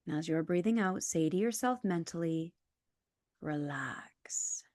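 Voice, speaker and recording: Female yoga nidra guide, lower register, calm and soothing, scripted instructions, very dry close sound. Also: a little slow, no room sound.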